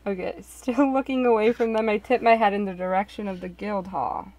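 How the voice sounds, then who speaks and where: A woman's voice: medium pitch, fairly even intonation, a little fast, darkish tone. A woman casually chatting and reading visual novel narration aloud among friends. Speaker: show participant, narrator. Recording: background noise with clicks.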